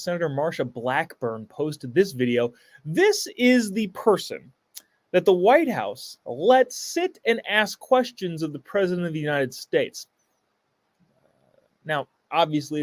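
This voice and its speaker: A man's voice: a little high, no echo. Sarcastic male live-show host. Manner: sarcastic, reads viewer comments aloud. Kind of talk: live commentary reacting to a clip.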